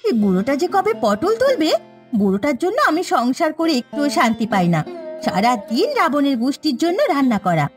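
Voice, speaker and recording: A put-upon cartoon housewife, played by a woman, with a high voice that swings up and down. She is exasperated and complaining, in moral-story dubbing style over faint music. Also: fairly quick. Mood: fed up. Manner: complaining, animated.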